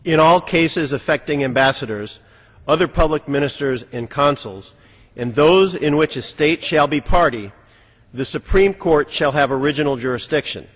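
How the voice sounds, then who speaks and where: A man's voice: on the high side, moderate pace, a bit bright, slightly rough. A man gives a formal reading of a legal text as a legislator. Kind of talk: formal read-aloud. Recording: reverberant large legislative chamber.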